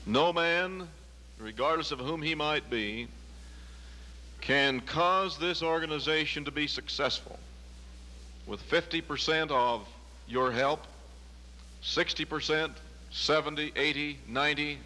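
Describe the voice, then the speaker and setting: A man's voice: on the high side, slow pace, pauses often, bright, forceful tone. A man, a newly elected organization president, giving an emphatic rallying convention speech from a podium. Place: large convention hall. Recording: reverberant convention hall with hum.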